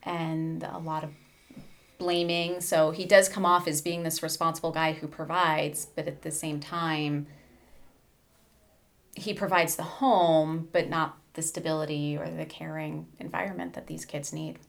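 A woman speaking as an educator and guest in a book discussion, explaining things in a measured way. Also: deep voice, flat delivery, vocal fry.

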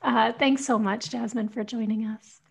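High-pitched, creaky American woman podcast host. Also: steady tone, normal speed, no echo. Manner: appreciative, effusive. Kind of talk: podcast interview hosting and sign-off.

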